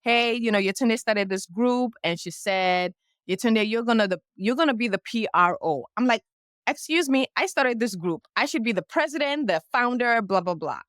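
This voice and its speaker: A woman's voice: on the high side, normal speed, dry recording, studio quality. A middle-aged woman raised in Nigeria, telling her life story as a podcast guest and acting out old conversations with animation. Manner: lively, animated storyteller who acts out past dialogue. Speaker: guest, publicist and coach. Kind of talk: casual storytelling interview.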